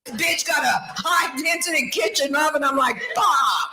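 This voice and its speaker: A woman in her sixties doing profane stand-up comedy, brash and loud. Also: high voice, measured pace. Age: 60s. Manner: brash, foul-mouthed, loud punchlines. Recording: echoey distant clip with loud noise.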